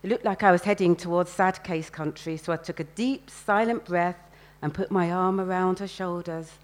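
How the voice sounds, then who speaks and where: A woman's voice: lowish voice, fairly even intonation, moderate pace, natural voice. A woman voicing a love-struck, nervous sixteen-year-old London boy in a colloquial, inward, eager way for a novel reading. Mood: nervous, hopeful.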